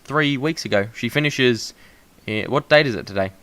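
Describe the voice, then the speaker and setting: A young man hosts a relaxed podcast chat. His voice is bright and carries loudly, and he often loops back on fillers and restarts his sentences. He has the easy tone of someone chatting with a friend. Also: medium pitch, moderate pace. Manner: loud, projecting voice; frequent fillers and restarts, laughs at himself. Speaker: podcast host. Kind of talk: casual chat.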